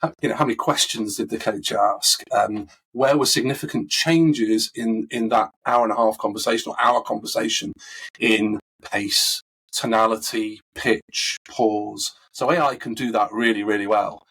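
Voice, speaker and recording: A man with a husky, recovering voice, answering interview questions in a thoughtful, conversational way with frequent fillers and restarts. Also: lowish voice, steady tone, moderate pace, a bit bright, rough recording. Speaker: coaching expert guest.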